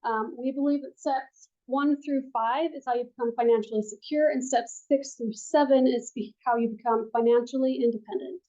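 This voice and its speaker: A woman who is a financial advisor, giving a soft-spoken classroom presentation with frequent ums, recorded on a room mic. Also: on the high side, steady tone, normal speed, lo-fi sound.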